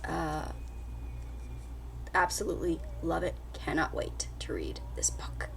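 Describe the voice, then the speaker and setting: A woman's voice: sits low, slow pace, gravelly, whispered. A woman vlogging a casual book haul, chatty and full of fillers, her voice a little scratchy after being sick. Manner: chatty, lots of fillers and restarts. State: slightly scratchy voice after illness. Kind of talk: casual book haul chat with read-out blurbs.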